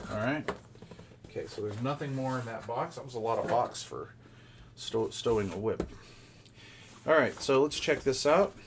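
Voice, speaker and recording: A man's voice: mid-range voice, background noise. A man giving casual unboxing commentary as a product reviewer, in a rambling, folksy, conversational way.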